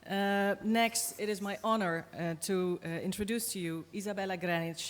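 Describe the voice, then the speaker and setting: A woman's voice: medium pitch, steady tone, normal speed. A woman moderating a conference, politely introducing speakers with hesitant uhs. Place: conference hall. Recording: reverberant hall with clear background noise.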